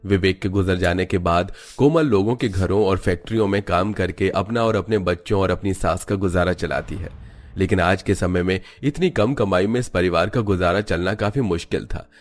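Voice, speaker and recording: A man's voice: low-pitched, normal speed. A man reading scripted storybook narration for a cartoon.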